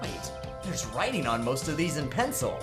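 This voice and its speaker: A man's voice: on the high side, big pitch swings, moderate pace. A man playing a young defense attorney in a game fandub, earnest and incredulous with sarcastic quips. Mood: musing. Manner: sarcastic, quippy. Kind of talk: visual novel game fandub acting. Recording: music behind the voice.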